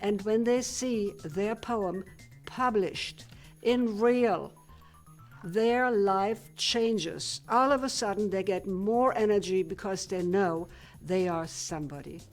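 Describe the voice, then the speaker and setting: An older woman from Germany, a retired physicist, answering questions in a studio TV interview in a measured, plain-spoken way. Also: mid-range voice, soft background music. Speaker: guest, retired physicist turned painter.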